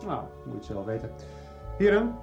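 An actor playing a composed, businesslike police detective in a radio soap, speaking with measured authority. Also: male voice, mid-range voice, big pitch swings, creaky, highs cut off, music in the background. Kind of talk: 1990s radio soap acting.

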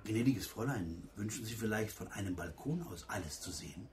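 A man's voice: lowish voice, animated, a little fast, natural voice. Actor as a distant, deferential male waiter. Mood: obliging. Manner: formal, deferential. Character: obsequious, courteous café waiter. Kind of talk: radio play dialogue. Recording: distant from mic, loud background noise.

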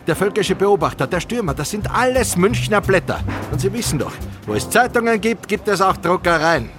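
A brisk, authoritative army boss in a radio drama. His voice sits fairly high and he urges his point firmly. Music plays clearly behind him. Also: sing-song. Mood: brisk, persuasive. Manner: authoritative, urging. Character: commanding US Army newspaper boss, brisk and persuasive. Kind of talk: radio play dialogue.

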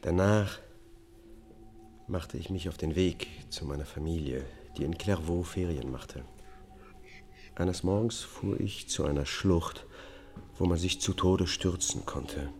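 An actor playing a troubled middle-aged man in a German radio drama, weary and evasive, speaking gravely and with restraint. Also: low-pitched, takes it slowly, pauses often, darkish tone, a little hiss or hum. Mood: bleak confession. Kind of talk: German radio drama acting, confessional monologue.

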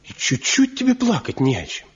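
An actor playing a proud, self-assured master woodcarver and husband in a radio drama, with a boastful, expressive delivery. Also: male voice, very high voice, normal speed, full character voice. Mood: concerned. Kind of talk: stage-trained radio drama acting. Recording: muffled old radio drama recording.

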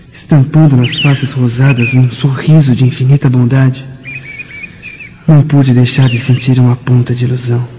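A man's voice: medium pitch, dark tone, slight background noise, natural voice. A man playing a jealous, lovesick young Roman nobleman in a radio drama, with a Brazilian accent. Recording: muffled, long hall reverb, music.

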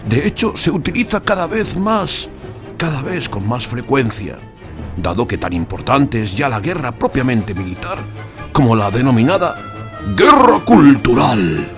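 A man's voice: mid-range voice, sing-song, unhurried. A man narrating a scripted radio essay, emphatic and ironic in his delivery. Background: music.

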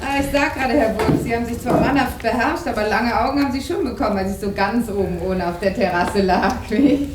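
An actress playing a gushing, teasing, flirtatious society hostess and boss in radio play dialogue, effusive and playful. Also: female voice, a little high, brisk, slight background noise, natural voice. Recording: roomy, loud background chatter.

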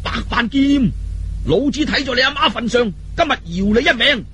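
A blustering old martial arts master, acted by a male storyteller, with a Cantonese accent. The voice is pushed up high and swings about as he boasts and threatens to save face. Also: expressive, moderate pace, a little hiss or hum, full character voice. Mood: angry bluster. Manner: loud boasting, shouted threats.

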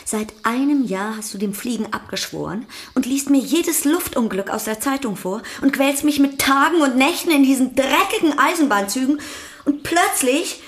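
An actress playing the exasperated, sharp-tongued sister of a vain musician in a German radio play, scolding and sardonic. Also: female voice, high-pitched, lively intonation, moderate pace. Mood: bitter, scolding.

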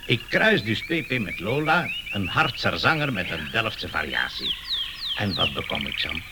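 Proud, touchy hobby inventor, unhurried and emphatic, comic radio drama, noticeably noisy vintage recording. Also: male voice, lowish voice, very animated. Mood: proud, eager. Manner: cooing over pets, self-important, emphatic explaining. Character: obsessive male canary breeder, proud amateur inventor, easily exasperated. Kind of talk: comic radio play acting. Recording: noisy early-1980s radio play recording.

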